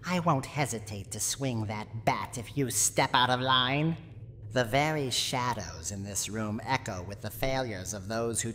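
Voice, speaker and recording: Cranky tsundere granny, super low slow voice, threatening and theatrical, big reverb asmr roleplay. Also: female voice, slight background noise. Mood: menacing. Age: old. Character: menacing tsundere granny, cranky, proud, secretly fond. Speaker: roleplay voice actor. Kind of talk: scripted ASMR roleplay monologue.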